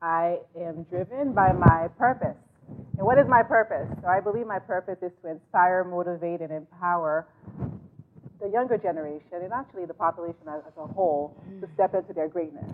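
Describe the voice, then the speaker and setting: A Haitian American woman from New York, a guest life coach speaking earnestly in measured, spiritual phrasing on a talk show. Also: fairly low pitch. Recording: tinny phone line with noise.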